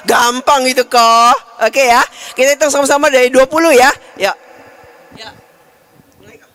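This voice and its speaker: Lively woman preaching in a church. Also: high-pitched, normal speed, no room sound. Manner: lively, coaxing the congregation directly. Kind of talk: sermon with audience games. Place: church hall.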